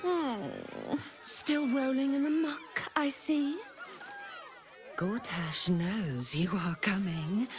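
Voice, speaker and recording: An actress playing a scornful, menacing assassin in a fantasy game, drawing out threats in a sinister, mocking way. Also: female voice, mid-range voice.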